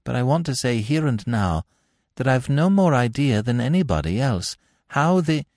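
A man's voice: mid-range voice, sing-song, moderate pace, darkish tone, no room sound, studio quality, pitched above the performer's own voice. A man voicing an uneasy, respectful prison warder in an audiobook, hesitant but dogged. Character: uneasy, deferential male prison warder, ex-military.

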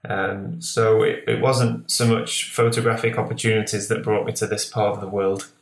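Young man, low-ish voice, relaxed and filler-heavy, echoey room. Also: moderate pace. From Lancashire, northwest England. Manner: easygoing, filler-heavy, repeats words. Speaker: guest photographer. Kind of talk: relaxed interview answers.

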